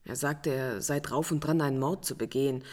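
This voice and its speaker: A woman's voice: very deep voice, fairly even intonation, a little fast, darkish tone. An actress playing a proud, defiant married woman under suspicion in a radio crime drama, guarded and curt in manner. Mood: bitter, confessing.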